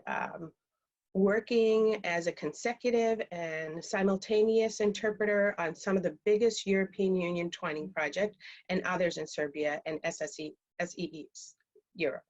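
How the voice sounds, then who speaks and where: A woman moderating a panel on a video call, reading out speaker introductions with frequent ums. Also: on the low side. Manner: reads bios steadily, with frequent ums. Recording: dull, lo-fi call audio.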